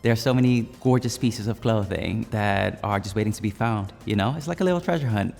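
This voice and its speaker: A man from Brazil talks chattily and enthusiastically as a judge. His voice is low and gravelly and carries a roomy reverb, with faint music underneath. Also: brisk, darkish tone. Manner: enthusiastic, chatty, fills with "you know, like". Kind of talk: promo interview to camera.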